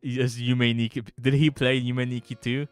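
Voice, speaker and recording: A man's voice: mid-range voice, little pitch movement, moderate pace, clean tone. A man co-hosting a livestream in loose, casual chat. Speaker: livestream co-host. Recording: dry voice with music behind it.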